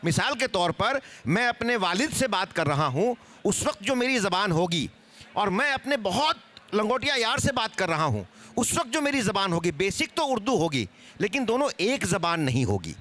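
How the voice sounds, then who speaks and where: A man gives an explanatory answer on a seminar panel. His voice is high and bright with very lively intonation, and he illustrates his points with examples. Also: moderate pace. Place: live seminar on stage.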